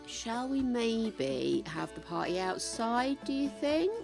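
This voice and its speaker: A woman's voice: on the high side, big pitch swings, takes it slowly, creaky. An older woman streaming a game, chatting casually and musing aloud to her viewers as she builds. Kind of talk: casual gameplay chat. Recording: game music behind the voice.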